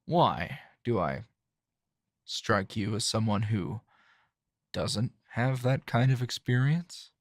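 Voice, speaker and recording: Man acting calm male hero, slow pace, dry wit, teasing, halting low-key delivery, intimate ASMR roleplay, close dry sound. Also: no room sound, exaggerated voice. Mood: awkward, casual. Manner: low-key, halting, conversational with sighs and soft chuckles.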